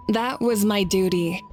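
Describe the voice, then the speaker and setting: A woman voicing a calm, modest and gracious warrior leader in an anime-style video game, speaking in a measured way. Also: mid-range voice, natural voice.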